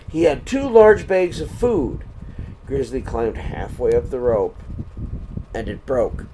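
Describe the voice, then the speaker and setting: A man reading a children's story aloud in a deliberate, expressive way, pausing between phrases. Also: medium pitch, a little hiss or hum, rough recording.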